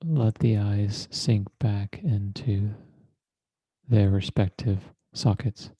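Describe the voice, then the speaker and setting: A man leading a guided meditation as a teacher, speaking in a deliberate, measured way with long pauses and formal, precise wording. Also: lowish voice, steady tone, warm tone, breathy edge, no echo.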